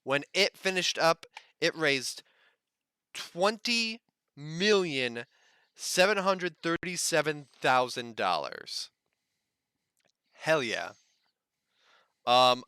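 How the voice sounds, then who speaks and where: Smooth, bright-voiced man hosting news. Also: medium pitch, no echo, studio quality. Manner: wry, self-deprecating. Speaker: podcast co-host. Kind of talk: casual gaming news chat.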